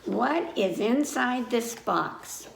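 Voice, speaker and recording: Female storytime host, lively pitch swings, unhurried delivery, chatty questions to young listeners, roomy sound. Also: gravelly, far from the mic. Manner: animated, asks listeners questions, chatty asides. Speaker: children's library storytime host. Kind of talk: reading picture books aloud to children. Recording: echoey room, faint background noise.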